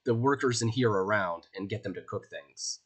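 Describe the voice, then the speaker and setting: A man's voice: lowish voice, normal speed, breathy edge. A man narrating as game master of a tabletop game, talking in a loose, rambling way with many fillers.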